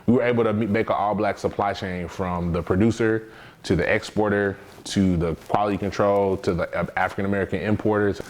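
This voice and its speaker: Male small business owner, lowish pitch, conversational interview tone, light background noise. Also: fairly even intonation, a little fast, darkish tone.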